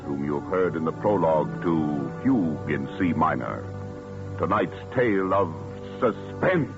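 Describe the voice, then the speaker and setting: A man's voice: a bass voice, animated, unhurried. A male announcer introducing a 1940s radio drama in a formal manner. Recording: dull old radio broadcast with music.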